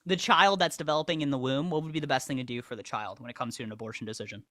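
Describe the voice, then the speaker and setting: A man hosting a livestream, firing pointed questions at a caller. He talks fast and pushes hard on every answer. The sound is close and dry, with no room around it. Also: medium pitch, fairly bright tone, dry recording. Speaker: livestream host. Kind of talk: debate with a caller.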